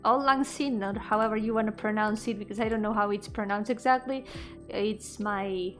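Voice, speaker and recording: A woman's voice: mid-range voice, steady tone, normal speed, a bit bright, faint music behind. A woman chatting casually on a livestream, effusive and giggly, often repeating words and trailing off. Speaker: VTuber streamer and indie game developer. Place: indoor solo livestream with music behind the voice.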